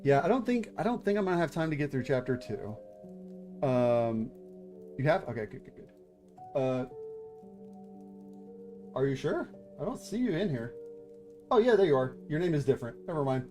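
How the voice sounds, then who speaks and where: Male streamer, 30s, mid-pitched, halting casual chat, soft music bed. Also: sing-song. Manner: hesitant, many fillers and restarts, reads chat aloud. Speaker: VTuber streamer hosting writing critiques.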